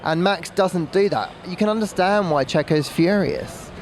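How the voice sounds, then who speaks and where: A man's voice: high-pitched, normal speed, slight background noise. A man giving casual sports analysis as a motorsport podcast pundit.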